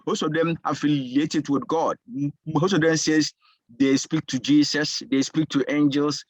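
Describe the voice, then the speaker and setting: A man in his fifties from Ghana with a Ghanaian accent, a social worker giving an informal talk over a video call, halting and restarting often. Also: mid-range voice, no room sound, dull audio. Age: 50s. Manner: halting, many restarts and repeated words.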